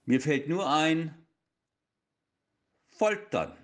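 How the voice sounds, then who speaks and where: An actor playing a menacing, irritable teacher turned kidnapper in an audio drama, with drawn-out, threatening delivery. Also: male voice, fairly high pitch, lively intonation. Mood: exasperated. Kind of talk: amateur German radio play acting.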